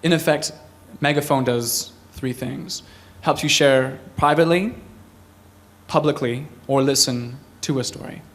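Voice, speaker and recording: A man co-presenting a project in a live pitch. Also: measured pace.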